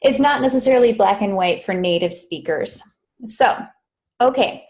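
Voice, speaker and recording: A woman's voice: medium pitch, normal speed, a little mellow. Chatty woman coaching a live online lesson. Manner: chatty, laughs at mishaps, self-corrects often. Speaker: Spanish interpreting coach.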